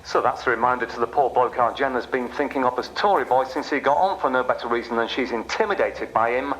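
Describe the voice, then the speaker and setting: A man's voice: mid-range voice, fast talker, a bit bright, a little hiss or hum, natural voice, rough recording. An actor playing a sardonic male train announcer who needles passengers with mocking, conversational patter in a radio play. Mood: scathing.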